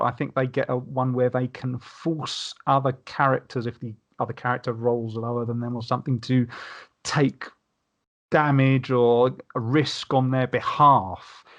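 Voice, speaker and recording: A man's voice: mid-range voice, a bit bright, dull audio. A man in casual panel discussion, talking wordily and often restarting his sentences. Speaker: podcast panelist.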